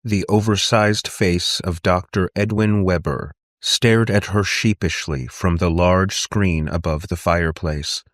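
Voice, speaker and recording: A man's voice: deep voice, fairly even intonation, unhurried, few pauses, no echo, studio quality. A man reading a scripted story as a narrator, in audiobook style.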